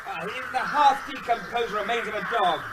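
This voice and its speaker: An actor in a radio drama playing an earnest, dogged official with an urgent, insistent manner. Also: male voice, high-pitched, normal speed, very noisy, close to an everyday voice. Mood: busy, curious. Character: earnest, dogged male animal-disease official. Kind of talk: British radio drama acting.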